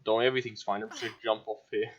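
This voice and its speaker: A man's voice: lowish voice, little pitch movement, very fast, lo-fi sound. A man doing casual playthrough commentary as a gaming streamer.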